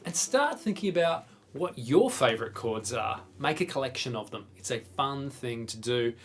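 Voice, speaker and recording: A man's voice: mid-range voice, lively intonation, moderate pace, a little hiss or hum. A man with an Australian accent presenting a casual guitar lesson in an informal, chatty, enthusiastic manner. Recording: guitar playing prominent alongside the voice. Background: acoustic or electric guitar strumming.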